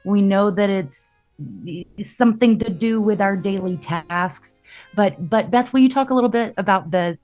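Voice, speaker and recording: A woman's voice: mid-range voice, normal speed, darkish tone, soft background music. A woman podcast host talking conversationally as she explains and puts questions to a guest. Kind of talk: conversational interview talk.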